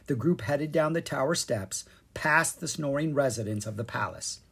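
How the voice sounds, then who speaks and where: A storyteller reading a children's storybook aloud in an expressive, animated way. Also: mid-range voice, normal speed, creaky, husky. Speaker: storyteller, podcast reader. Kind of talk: scripted narration of a children's storybook.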